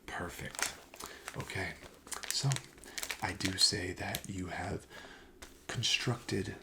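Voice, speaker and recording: A man speaking softly and breathily, often near a whisper, in a gentle, polite ASMR shopkeeper roleplay. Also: sits low, fairly even intonation, takes it slowly, vocal fry. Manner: gentle, attentive, polite salesman patter. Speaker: ASMR roleplayer playing a card shop clerk. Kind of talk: soft-spoken ASMR shop roleplay.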